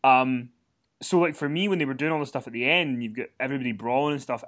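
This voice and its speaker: A man's voice: mid-range voice, fast talker, fairly bright tone. Rambling man recapping wrestling. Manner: rambling, many fillers and restarts. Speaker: podcast co-host. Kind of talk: casual wrestling recap chat. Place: hotel room.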